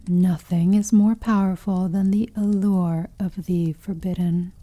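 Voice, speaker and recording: A woman playing a seductive, possessive female vampire in an intimate ASMR roleplay, speaking softly and breathily, often whispering, with teasing, silky condescension. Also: mid-range voice. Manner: slow, teasing, silky condescension with pet names.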